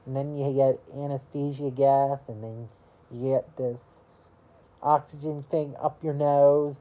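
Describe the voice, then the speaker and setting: A woman in her early twenties chatting casually to camera about her year, rambling and halting, with lots of "you know" fillers. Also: extremely low pitch, highs cut off. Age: early 20s. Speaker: vlogger.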